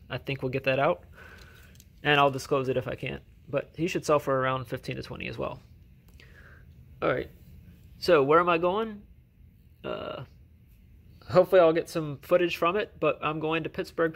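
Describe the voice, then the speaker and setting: A man casually talking through items he has sold, relaxed and rambling, often correcting himself, recorded on a handheld phone at home. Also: a little high, creaky. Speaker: reseller vlogger. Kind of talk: casual haul video narration. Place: indoors at home, filming items on floor.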